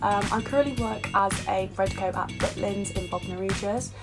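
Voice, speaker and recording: A woman's voice: medium pitch, normal speed. A woman in her twenties from England giving a casual self-introduction as a pageant contestant. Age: 20s. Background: music.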